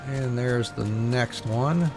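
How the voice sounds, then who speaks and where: Guy streaming a game, casual comments, bit of vocal fry, soft music behind. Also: mid-range voice, takes it slowly.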